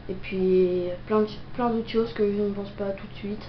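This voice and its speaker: A woman's voice: mid-range voice, fairly even intonation, moderate pace. A young woman with a cold improvising gameplay commentary, hesitant and full of fillers. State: has a cold, coughing. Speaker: gameplay video maker. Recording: roomy, dull lo-fi audio with noise.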